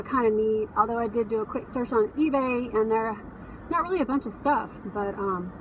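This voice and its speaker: A woman in her forties, a reseller, chatting casually and excitedly to her phone camera about thrift finds, laughing often. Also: on the high side, recorded from a distance. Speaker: reseller vlogger. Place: parked car, later a dim room at home. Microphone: phone camera at arm's length. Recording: phone mic held a bit away, noisy.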